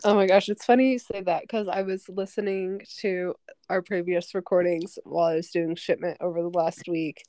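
A woman in her twenties, a podcast guest in casual chat, who speaks calmly and fills her speech with "like". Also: on the low side, normal speed, no room sound. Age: 20s.

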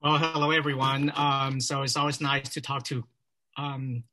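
Older man, bright voice, friendly and umming. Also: mid-range voice, little pitch movement, moderate pace.

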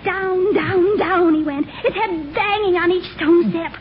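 A woman playing an excitable, chatty teenage neighbor girl in an old radio comedy, telling tales in a breathless, melodramatic way. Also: extremely high pitch, unhurried. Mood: spooky, melodramatic. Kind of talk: 1940s radio comedy acting. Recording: noisy, muffled old radio recording.

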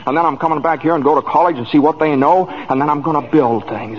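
Casting: man as restless young small-town dreamer, stammering, highish swooping voice, old radio drama. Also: close to an everyday voice. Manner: stammering, repeating words. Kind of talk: 1940s radio acting. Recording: muffled, hissy vintage radio recording.

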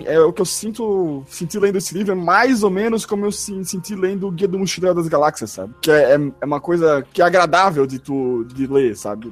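Hesitant, husky Brazilian podcaster. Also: male voice, fairly high pitch. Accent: Brazilian Portuguese. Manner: hesitant, searches for words, enthusiastic recommending. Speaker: podcast co-host. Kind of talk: casual chat.

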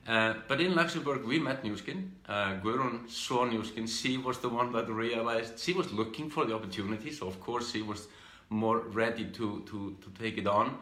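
A middle-aged man from Iceland with an Icelandic accent gives an informal live talk about his own past, speaking haltingly with repeated words and restarts. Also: medium pitch, bright, forceful tone, reverberant. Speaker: speaker giving a business training talk.

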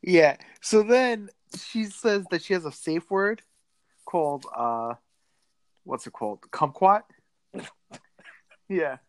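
A woman's voice: lowish voice, animated, slow pace, pauses often, no echo. A woman casually recapping a movie on a podcast, laughing often and making sarcastic asides. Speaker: podcast co-host.

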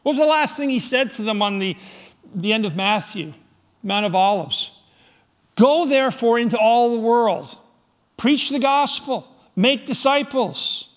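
A middle-aged man from Scotland preaching a sermon to his congregation, firing rhetorical questions, swinging from loud emphasis to soft, low asides. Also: expressive, a little slow. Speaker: pastor.

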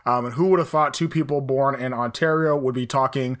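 A man's voice: mid-range voice, fairly even intonation, a little fast, fairly bright tone. A man from Texas hosting a sports podcast in an upbeat, chatty way. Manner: upbeat and chatty, laughing easily. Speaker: podcast host. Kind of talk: casual sports podcast chat.

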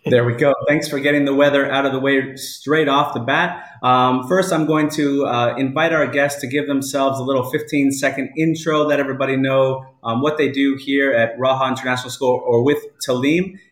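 A man's voice: mid-range voice, steady tone, fairly quick, hardly any pauses. A man hosting a casual live online talk show from home, chatting with guests. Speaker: live chat show host. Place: indoors at home. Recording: roomy home echo.

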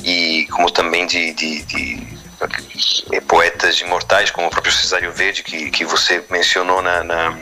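Low-voiced bright musician, halting speech. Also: male voice, flat delivery, soft background music. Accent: mixed Brazilian and European Portuguese. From Argentina. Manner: thoughtful, halting, many restarts and fillers. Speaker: musician guest. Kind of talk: reflective interview answers.

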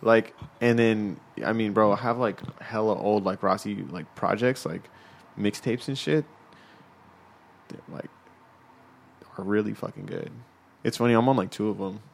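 Guy in his 20s, lowish voice, rambles on a podcast, says like a lot. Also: steady tone, moderate pace, gravelly, long pauses. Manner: rambling storytelling, lots of "like". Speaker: podcast co-host. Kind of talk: casual podcast chat.

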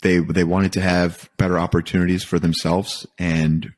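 A man from Michigan talking casually and reflectively as a podcast guest, feeling out his phrasing in a halting, thoughtful way. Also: low-pitched, level tone. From Michigan, United States. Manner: thoughtful, halting, rephrases as he goes. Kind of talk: casual reflective interview talk.

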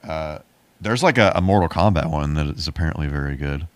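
A man with a low voice talks casually as a podcast co-host. His delivery is easygoing and laid-back, recorded close and dry with very little room sound. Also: brisk, smooth, no echo. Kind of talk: casual chat about movies.

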